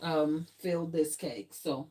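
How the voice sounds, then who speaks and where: A woman's voice: deep voice, fairly even intonation, slow pace, dark tone, breathy edge. A middle-aged woman with a Ghanaian accent, a non-native English speaker, giving a casual, explanatory live tutorial and chatting with viewers. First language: not English. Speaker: cake decorating instructor. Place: home kitchen, indoors.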